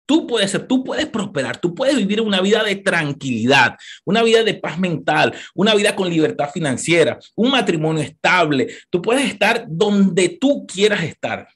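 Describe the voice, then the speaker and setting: A man's voice: big pitch swings, normal speed, fairly bright tone, slightly rough. A man in his thirties with a Venezuelan accent, giving an emphatic motivational talk to an audience. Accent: Venezuelan Spanish. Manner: emphatic, rising to high passionate peaks; repeats words, talks straight to the listener. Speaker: motivational speaker.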